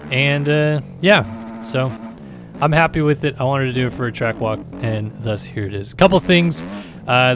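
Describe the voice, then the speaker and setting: Male gaming host, mid-pitched, raspy and tired, loose rambling commentary over loud engine noise. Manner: rambling, filler-heavy, easygoing. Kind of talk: casual gameplay commentary.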